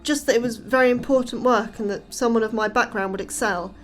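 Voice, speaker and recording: A woman's voice: fairly high pitch, fairly even intonation, a little fast, soft background music, natural voice. A woman playing an anxious, wary scientist newly recruited in a fiction podcast, speaking hesitantly and full of uncertain questions. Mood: baffled, hesitant. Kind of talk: audio fiction podcast acting.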